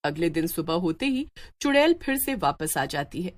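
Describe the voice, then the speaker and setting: A woman's voice: on the low side, sing-song, moderate pace. A woman storyteller reading scripted, dramatic narration for a children's tale.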